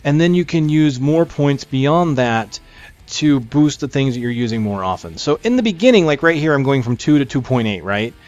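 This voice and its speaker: A man's voice: mid-range voice, lively intonation, moderate pace, a little mellow, highs cut off. A man giving casual gameplay commentary, explaining things conversationally and thinking aloud as he plays. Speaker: gamer presenting a game preview. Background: music behind the voice.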